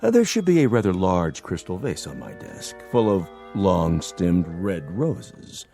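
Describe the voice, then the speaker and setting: Radio drama: older executive, self-important, declamatory, extremely animated intonation. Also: male voice, sits low, gravelly, soft background music, full character voice. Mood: magnanimous. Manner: formal, declamatory, drawn-out. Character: pompous, theatrical older male office boss.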